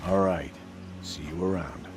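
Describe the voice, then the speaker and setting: An actor playing a grumbling, cagey outlaw trader in a video game, with a sarcastic, begrudging manner. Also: male voice, sits low, steady tone, unhurried, darkish tone, slight background noise. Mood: businesslike. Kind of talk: video game dialogue acting.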